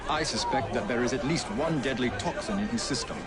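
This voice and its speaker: An actor playing an observant investigator in a game cutscene, speaking in a dry, deliberate, analytical way. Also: male voice, mid-range voice, natural voice. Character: observant male murder investigator, dry and matter-of-fact. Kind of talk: acted video game cutscene dialogue. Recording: loud music and street crowd behind.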